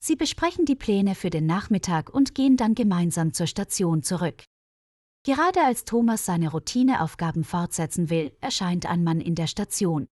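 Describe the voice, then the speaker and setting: A woman reading a scripted story for language learners, articulating clearly. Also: fairly low pitch, darkish tone, no room sound, clean studio sound. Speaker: narrator.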